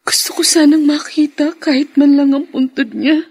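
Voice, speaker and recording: A grieving young wife in a radio drama. Her high voice is plaintive and full of emotion. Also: steady tone, normal speed, darkish tone. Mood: grieving, tearful. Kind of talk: Filipino radio drama acting.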